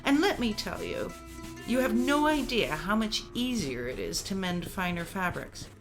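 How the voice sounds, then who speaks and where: A woman's voice: mid-range voice, sing-song, a little slow. A woman acting in a radio play as a stern, resigned, dutiful mother who lectures in formal speech. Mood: lecturing. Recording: music bed under the voice. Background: prominent music.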